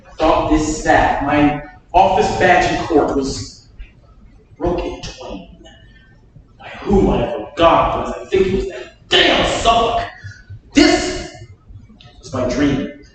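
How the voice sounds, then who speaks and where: A loyal duke, worried by a bad dream and stern with an ambitious wife, read by an actor in a mid-pitched, lively, slow voice. The recording is far off in a very echoey hall, with faint ambience behind. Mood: troubled. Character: troubled loyal duke, chiding his wife. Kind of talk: staged Shakespeare reading.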